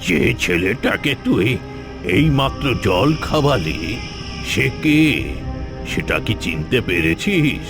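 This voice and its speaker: Guy doing a gravelly evil sorcerer from a cartoon, scornful n bossy, loud music under it. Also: medium pitch, big pitch swings, slow, pauses often. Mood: scornful, scolding. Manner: scolding, commanding. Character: scheming, vengeful male tantric sorcerer, scornful villain. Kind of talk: dramatic cartoon voice acting. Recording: music behind the voice.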